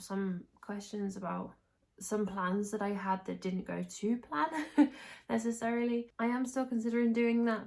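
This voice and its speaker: Young english girl vlogging about knitting, bit nasal and hoarse from being ill, creaky voice, rambles and restarts a lot. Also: mid-range voice, darkish tone. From England. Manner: chatty, rambling, frequent restarts. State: hoarse, congested, recovering from illness. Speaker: knitting podcast host. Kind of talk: casual chat to camera.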